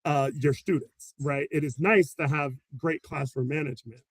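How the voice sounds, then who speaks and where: A man, a special education teacher, answering interview questions in an animated storytelling way, laughing often and acting out quotes. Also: a little high, normal speed, dry recording.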